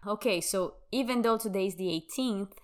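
A woman's voice: mid-range voice, brisk. A young woman from Brazil whose first language is Portuguese, hosting her podcast in English in a chatty way and laughing often. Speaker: podcast host. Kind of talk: casual podcast chat.

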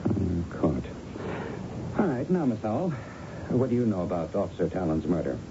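A probing police lieutenant in a vintage radio play. His low voice is measured and coaxing, heard through a dull broadcast recording with clear noise. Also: sing-song. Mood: businesslike. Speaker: radio drama actor. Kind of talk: 1970s radio drama acting.

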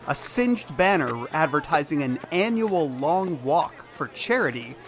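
A man's voice: a little high, very animated, unhurried. A man reading scripted, dramatic narration as the narrator of an audio drama. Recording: loud music under the voice. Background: loud music.

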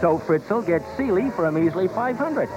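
An actor playing a gossipy, cynical stagehand in a muffled 1950s radio drama with music. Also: male voice, high voice, animated, moderate pace. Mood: gossiping, sardonic.

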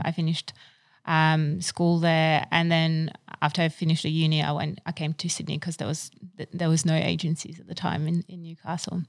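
A woman from Ukraine in her thirties, speaking as a business owner in a relaxed interview. Her voice sits a little low, and the recording is close and dry. She talks in a chatty, loose way, full of fillers and false starts. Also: dry recording. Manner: chatty, many fillers and restarts. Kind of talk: casual interview answers.

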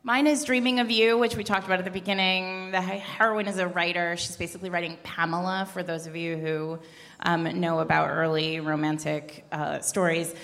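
Low, creaky-voiced woman in a reverberant hall. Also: steady tone, fairly quick, fairly bright tone. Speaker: podcast co-host on a panel. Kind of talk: casual live book recommendations. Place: large room with a live audience, indoors. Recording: echoey hall with long reverb.

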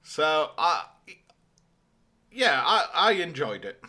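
Bright-voiced man co-hosting a podcast. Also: fairly high pitch, big pitch swings, slow pace. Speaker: podcast co-host. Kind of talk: casual chat.

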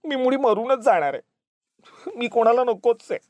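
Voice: mid-range voice, expressive, a little fast, darkish tone, no room sound, close to an everyday voice. A narrator voicing a touchy, dramatic young village wife who talks in an emotional, scolding, plaintive way. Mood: tearful outburst.

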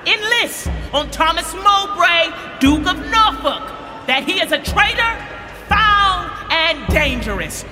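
Fierce, extremely high-pitched noble challenger. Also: male voice, full character voice. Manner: loud, slow, declamatory. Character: fierce young noble challenger knight, righteous and proud. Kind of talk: Shakespearean verse radio drama. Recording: cavernous hall reverb. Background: music.

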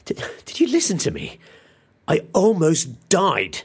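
Very raspy, hapless office worker. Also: male voice, on the high side, huge pitch swings, exaggerated voice. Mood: aggrieved. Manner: halting, melodramatic. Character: hapless, aggrieved male office worker, melodramatically complaining. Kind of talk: audio drama comedy acting.

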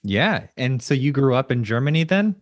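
A man's voice: mid-range voice, very animated, moderate pace, no echo. A man hosting a podcast, opening the show and chatting casually with an upbeat manner. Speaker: podcast host. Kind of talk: podcast interview.

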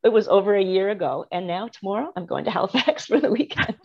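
A woman's voice: mid-range voice, lively intonation, fast talker, darkish tone, muffled recording, slight background noise. A middle-aged woman, a guest talking in a friendly, rambling way and laughing often over a remote call. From Canada. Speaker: guest, marketing strategist. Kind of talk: casual interview chat. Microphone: remote video call.

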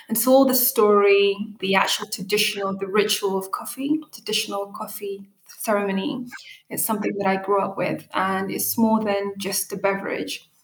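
Woman, thirties, founder guest, earnest storyteller, narrow pitch, echoey room. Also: a little hiss or hum. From Eritrea and Ethiopia. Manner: earnest storytelling, frequent fillers. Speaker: coffee brand founder, guest. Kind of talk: interview answers.

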